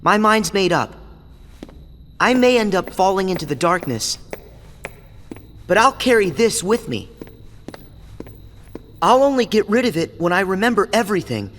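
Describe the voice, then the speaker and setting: A man voicing an earnest, stubborn and kind teenage boy hero in a game cutscene, speaking in a resolute, quietly pained way. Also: high voice, a little hiss or hum, heavily acted. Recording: game audio with long reverb and music.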